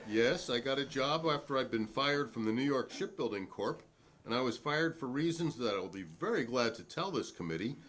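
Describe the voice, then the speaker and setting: A man's voice: mid-range voice, animated, moderate pace, fairly bright tone, natural voice. A man playing a defiant, proudly principled hearing witness in a dramatic transcript reading, formal and pointed in manner. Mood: pointed, dry.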